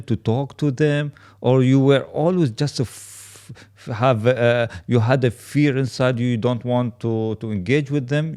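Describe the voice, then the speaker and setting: A man's voice: medium pitch, a little mellow, clear, resonant tone. A man interviewing someone conversationally, putting in brief acknowledgements and rewording his questions as he goes.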